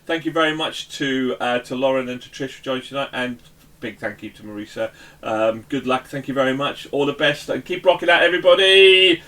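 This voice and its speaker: A man's voice: mid-range voice, brisk, fairly bright tone. A man hosting a casual interview in a jokey, rambling way, often repeating himself. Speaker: interview host.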